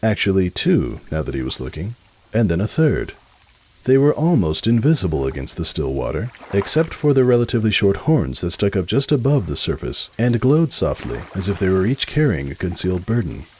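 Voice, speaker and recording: A man narrating a scripted story as a dramatic reading, with a deep, husky voice and expressive delivery. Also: a little fast, a little hiss or hum.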